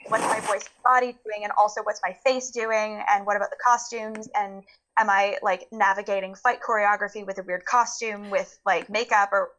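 Woman, bright forceful tone, conversational, emphatic, informal. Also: mid-range voice, fairly even intonation, moderate pace, rough recording. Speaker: interview guest, voice actor and audio play producer. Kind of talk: casual conversational interview.

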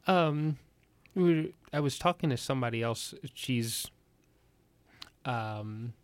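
A woman's voice: extremely low pitch, animated, takes it slowly. A woman in her sixties hosting a casual interview, asking curious, meandering questions. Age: 60s. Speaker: host.

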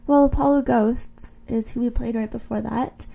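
A woman's voice: a little high, steady tone, normal speed, gravelly, warm tone. A woman co-hosting a radio show, chatting casually and informally about music between songs.

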